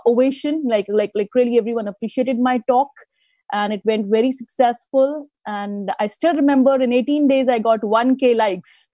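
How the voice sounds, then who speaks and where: A woman's voice: fairly high pitch, no room sound. A woman from India, a guest speaker telling stories about her life in a live interview, laughing often. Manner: warm, storytelling, laughs often.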